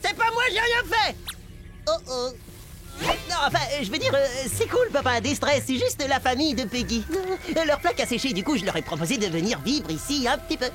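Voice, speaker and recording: High, nervous young cartoon boy. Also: animated, fairly quick. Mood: flustered. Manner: hesitant, stammering, wry. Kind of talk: animated cartoon dub. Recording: loud music behind.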